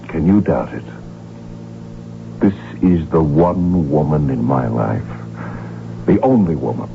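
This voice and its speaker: An actor in a radio drama playing a lovestruck, determined royal heir who speaks earnestly and formally. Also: male voice, rumbling low, animated, slow pace, creaky, a little hiss or hum, exaggerated voice. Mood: ardent. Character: lovestruck, determined Habsburg archduke, heir to the throne. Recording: dull vintage radio broadcast with music.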